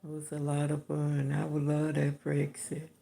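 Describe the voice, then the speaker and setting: An older woman with a distinctive voice, hosting a livestream and chatting affectionately with her viewers in an unhurried, chuckling way. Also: low-pitched, completely flat delivery, gravelly, warm tone. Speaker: livestream host greeting viewers. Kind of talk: casual chat answering comments.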